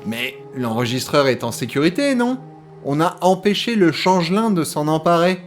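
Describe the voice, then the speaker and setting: A man playing a casual, gently mocking sidekick in an audio drama, with a deadpan, colloquial delivery. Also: on the high side, normal speed, faint music behind, natural voice. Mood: uneasy. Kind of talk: French audio drama acting.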